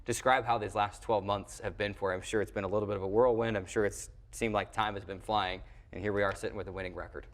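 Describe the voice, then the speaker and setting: A man's voice: on the low side, fairly even intonation, fast talker, few pauses. A man hosting a broadcast sports show in a studio, talking in a conversational, wordy way.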